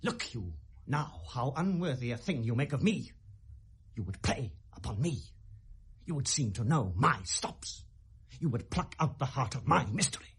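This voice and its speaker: A mocking young shakespeare prince, british, husky, pitch swings all over, radio play. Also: male voice, big pitch swings, full character voice. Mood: accusing, biting. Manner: theatrical, sardonic, quick shifts from quiet to outburst.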